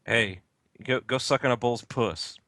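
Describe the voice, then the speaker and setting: A man's voice: medium pitch, measured pace, pushed and bright. A man in casual group banter, heckling with sarcasm and plenty of swearing.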